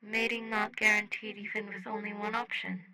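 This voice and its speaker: A woman's voice: medium pitch, level tone, normal speed, pushed and bright, low-quality recording. A woman voicing a blunt, loyal alien friend in an audiobook, speaking in clipped, broken grammar. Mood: insistent, curious. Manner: clipped broken grammar, tags questions with the word "question". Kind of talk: audiobook fan fiction character voice.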